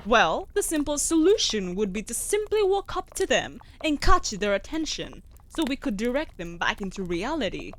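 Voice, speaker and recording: A woman playing a sharp-tongued, bossy wolf familiar in a fantasy audio roleplay, speaking in a haughty, sardonic, formal way. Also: fairly high pitch, sing-song, moderate pace, hardly any pauses.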